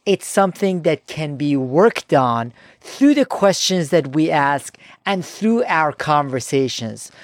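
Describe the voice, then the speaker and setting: A male podcast host with a fairly high voice introduces his guest with polished enthusiasm. His questions come across smooth and practiced. The recording is dry and close. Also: no room sound. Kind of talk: podcast interview hosting.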